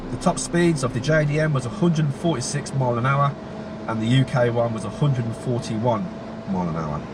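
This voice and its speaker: A man with a cold hosts a relaxed car video, his voice croaky and a little worn. He rambles in an easy, informal way, often restarting his sentences and leaning on fillers. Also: medium pitch, brisk, background noise. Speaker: car channel host. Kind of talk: casual informative car vlog.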